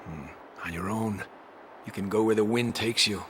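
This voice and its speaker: A man playing a brooding, embittered swordsman in a video game cutscene, gruff and gravelly, with terse, dramatic delivery. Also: on the low side, moderate pace, a little hiss or hum, natural voice. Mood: grim, subdued. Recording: echoey, loud music behind.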